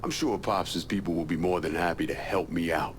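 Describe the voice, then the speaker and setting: An actor playing a tough, vengeful American war veteran turned crime boss in a video game, speaking tersely and bluntly. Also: male voice, deep voice, fairly quick, a little hiss or hum, natural voice. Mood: cool, businesslike. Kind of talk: video game cutscene acting.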